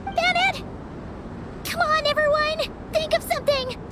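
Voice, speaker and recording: A woman's voice: extremely high pitch, a little slow, background noise. A woman voicing a small, girlish, devoted companion in a video game, urgent and pleading. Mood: frantic pleading. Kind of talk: animated video game acting.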